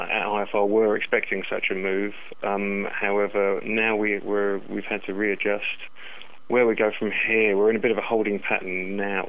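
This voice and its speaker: A man giving market commentary as an analyst in a phone interview, halting and repeating words, over a dull telephone line. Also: lowish voice, gravelly, fairly bright tone. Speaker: currency analyst, interview guest.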